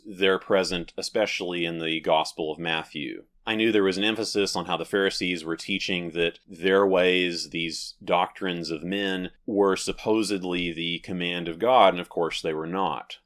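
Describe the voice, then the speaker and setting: A man talking alone to his audience, explaining his religious views in a careful, measured way and often repeating and rephrasing his points. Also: low-pitched, fairly bright tone. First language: English. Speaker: religious video host. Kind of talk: solo talk to camera, measured explanation.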